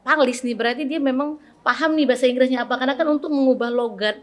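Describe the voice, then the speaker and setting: Woman podcast host, chatty interviewer, fairly high voice, lots of little fillers. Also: quick pace. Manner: chatty, frequent "nih" and "ya" fillers, encouraging. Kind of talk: casual interview chat.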